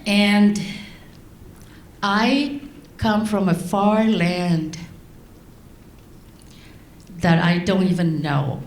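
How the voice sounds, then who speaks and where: A middle-aged woman from Laos, raised in France, giving a prepared speech to an audience in slow, deliberate phrases. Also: mid-range voice, fairly even intonation, echoey room, a little hiss or hum. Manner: slow, deliberate delivery with long pauses. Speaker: guest speaker.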